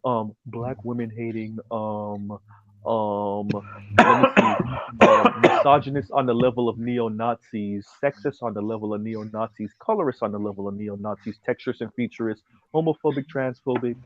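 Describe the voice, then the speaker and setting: A man's voice: fairly low pitch, normal speed, a bit bright, dull audio. A man hosting a casual group chat in a joking, teasing way over an online voice call. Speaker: host.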